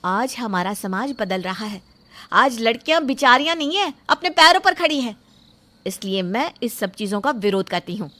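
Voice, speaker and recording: High, bright, defiant young bride-to-be played by a woman. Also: lively intonation, moderate pace. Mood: defiant, proud. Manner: firm, indignant, emphatic. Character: self-respecting young bride-to-be, defiant and outspoken. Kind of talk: dramatised Hindi moral story acting.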